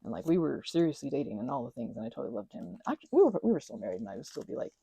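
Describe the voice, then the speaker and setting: A woman hosting an ASMR channel, rambling in a chatty, giggly way with lots of fillers and a breathy voice that slips into whispers. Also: very deep voice, very animated, racing, mellow, no echo. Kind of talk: soft-spoken rambling chat.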